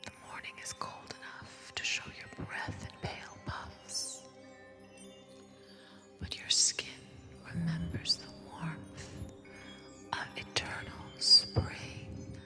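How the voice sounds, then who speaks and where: A woman whispering a scripted ASMR fantasy story softly and deliberately, with dramatic pauses, close on a binaural microphone. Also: big-room sound, over music.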